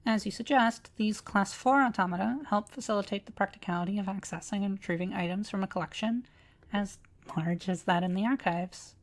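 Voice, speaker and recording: A woman playing an ancient, courteous automaton librarian in a scripted audio roleplay, speaking formally with precise, measured diction. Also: medium pitch, natural voice. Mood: precise, helpful. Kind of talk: scripted roleplay audio drama addressed to listener.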